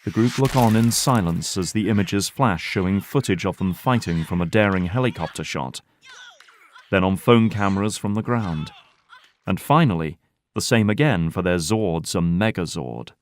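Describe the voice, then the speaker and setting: A man's voice: low voice, animated, moderate pace, a little hiss or hum. A man narrating an audio drama in scripted, present-tense storytelling style. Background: background music.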